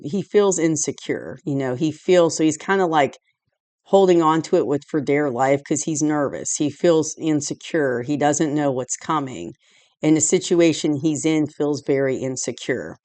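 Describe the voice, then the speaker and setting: A hoarse woman recovering from a cold, giving a rambling, casual tarot reading indoors at home, full of "you know" and "like". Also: sits low, darkish tone, no room sound. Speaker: tarot reader. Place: indoors at home, dim room lit by a candle and lamp.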